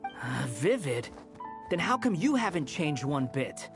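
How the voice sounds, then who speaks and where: A sardonic young tomcat of a game character, mid-pitched with very expressive swings. He is curt and aloof, and music plays clearly behind him. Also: unhurried. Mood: indignant. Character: grumpy young man turned stray cat, aloof. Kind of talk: animated video game voice acting.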